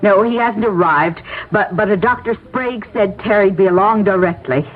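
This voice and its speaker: A woman playing an anxious, welcoming wife in an old-time radio drama, with a fretful, halting, emotive delivery. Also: medium pitch, close to an everyday voice. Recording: tinny, hissy vintage radio broadcast.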